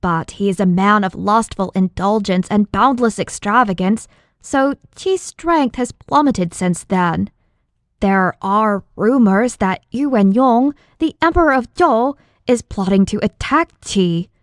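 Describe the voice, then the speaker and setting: A woman's voice: mid-range voice, expressive, unhurried, no room sound, studio quality, close to an everyday voice. A woman reading an audiobook, voicing a respectful, earnest young male disciple who explains things deferentially. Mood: respectful, eager. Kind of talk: audiobook character dialogue.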